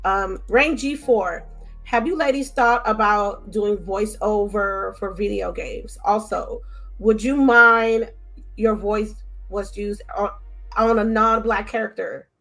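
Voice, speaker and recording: A woman's voice: mid-range voice, fairly even intonation, takes it slowly, fairly bright tone, soft background music. A woman with an American accent hosting a casual gaming podcast and reading listener questions aloud to her co-hosts. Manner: reads questions aloud, apologetic and laughing. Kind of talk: casual chat.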